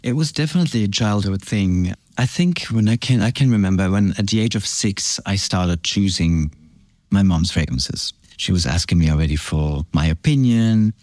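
Deep-voiced middle-aged man from Belgium. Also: dry recording. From France and Belgium. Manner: reflective, halting, warm storytelling with many restarts. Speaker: fragrance brand founder, guest. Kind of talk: casual interview chat.

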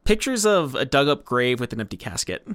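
A man's voice: medium pitch, big pitch swings, fairly quick, a bit bright, no echo. A man co-hosting a podcast, chatting casually about a film and offering tentative, hedged opinions. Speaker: podcast co-host.

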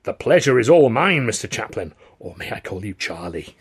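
A man voicing a dapper, fussy little theatre manager who burbles excitably in florid phrases. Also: mid-range voice, brisk, breathy edge. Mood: gushing.

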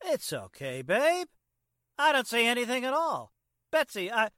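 A man's voice: extremely high pitch, wildly swooping pitch, measured pace, pushed and bright, exaggerated voice. A man playing a brash, teasing teenage boyfriend with cocky, slangy speech, in the style of 1940s radio drama. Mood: wary. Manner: slangy, cocky, casual grammar. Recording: noisy recording with rain.

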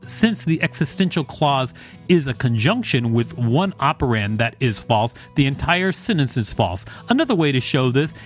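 A man's voice: mid-range voice, very animated, normal speed, soft background music. A man co-hosting a podcast, reading aloud and chatting in a wry, conversational way. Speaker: podcast co-host. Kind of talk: reading an encyclopedia article aloud with casual banter.

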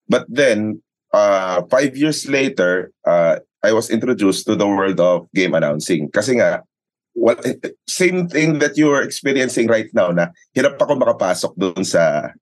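A man's voice: lowish voice, expressive, normal speed. A man with a Filipino accent, a sports announcer talking as an interview guest in a conversational, storytelling way, repeating phrases for emphasis. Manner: mixes English and Tagalog, repeats phrases for emphasis.

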